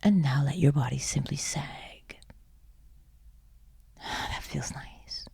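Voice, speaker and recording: A woman leading a guided sleep meditation in a soothing, hushed and breathy voice, leaving long pauses. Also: low voice, no room sound, in a whisper. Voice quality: breathy, husky.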